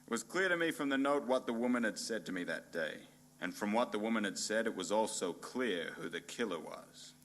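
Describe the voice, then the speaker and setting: A man playing a hard-boiled, pompous and bumbling private detective in a noir radio play parody, narrating in a deadpan, melodramatic way. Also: on the low side, fairly quick, a little hiss or hum. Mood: deadpan brooding.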